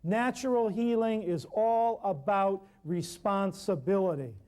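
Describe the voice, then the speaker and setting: Loud, emphatic man with a high voice. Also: measured pace. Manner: loud, emphatic, folksy, repeating lists of words. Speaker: natural healing teacher. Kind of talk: workshop lecture to a live class.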